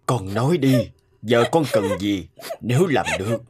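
A man's voice: medium pitch, lively intonation, moderate pace, heavy background noise, close to an everyday voice. Sorrowful middle-aged king and father acted by a man. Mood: sorrowful, doting. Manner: sorrowful, gentle. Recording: loud background music.

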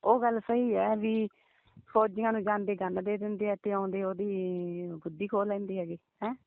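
A woman's voice: medium pitch, little pitch movement, moderate pace, slight background noise. A woman chatting casually and intimately on the phone, politely deferential. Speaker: phone call partner. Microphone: phone call. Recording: thin lo-fi phone line.

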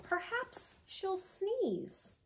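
A woman around her thirties reading a children's picture book aloud, expressive and sing-song, with drawn-out pauses for young listeners. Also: very high-pitched, slight background noise. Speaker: read-aloud host, tutor. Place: small indoor room with bookshelves.

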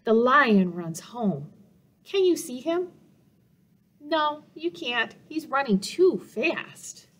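A middle-aged woman hosts a children's storytime. Her voice sits fairly high and swings widely in pitch. She reads slowly, in short, deliberate phrases suited to small children. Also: very animated, fairly bright tone. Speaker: children's librarian and storytime host. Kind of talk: children's storybook read-aloud. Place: small office room indoors.

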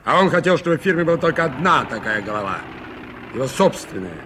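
A man playing a proud, clever, easily stung company employee in a radio play, speaking emphatically and excitably. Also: a little high, fast talker, background noise. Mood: resentful, angry. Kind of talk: 1980s radio play acting.

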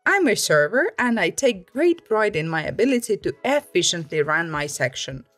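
A woman's voice: fairly low pitch, sing-song, normal speed, fairly bright tone, faint music behind. A woman reading online stories aloud as a host, in scripted storytime narration.

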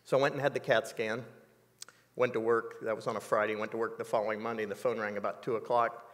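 A man in his sixties telling a personal story in an interview, measured and reflective, with many fillers, on a dark studio set. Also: fairly low pitch, level tone, in a large hall. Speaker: interview guest.

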